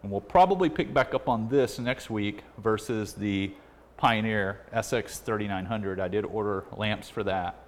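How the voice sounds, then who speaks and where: Male, middle-aged, deep register. Plain-spoken, casual tutorial host, workbench recording with light background noise. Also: normal speed. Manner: plain-spoken, step-by-step explaining. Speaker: repair video host. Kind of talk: casual hands-on repair tutorial. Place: indoor electronics repair workbench. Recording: clearly noisy workshop recording.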